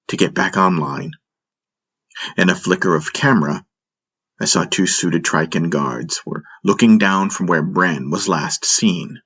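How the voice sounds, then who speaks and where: A man reading dramatic first-person story narration as an audiobook narrator, with measured, deliberate phrasing. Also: low-pitched, a bit bright, slightly rough, dull audio.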